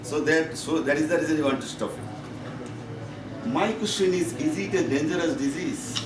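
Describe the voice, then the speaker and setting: A man with a Bangladeshi accent giving a medical conference lecture as a professor, in halting, repetitive, emphatic phrases. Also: on the high side. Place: conference meeting room. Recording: echoey, noisy room, distant microphone.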